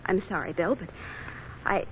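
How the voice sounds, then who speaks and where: A woman's voice: medium pitch, moderate pace, close to an everyday voice. An American actress playing a guarded, principled young woman in a 1940s radio drama, hesitant and pointed, on a muffled, noisy old recording. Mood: earnest, reproachful.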